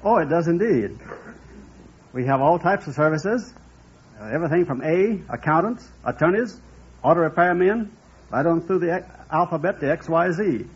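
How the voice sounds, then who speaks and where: Man, American, raspy, formal, deliberate wordy phrasing, quiz contestant, muffled old radio sound. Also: fairly high pitch, expressive. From Texas, United States. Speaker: quiz show contestant, business operator.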